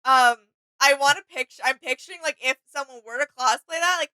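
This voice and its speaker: A woman's voice: sits high, a little fast, bright, forceful tone, rough recording. A woman co-hosting a reaction video in casual chat, agreeable and informal.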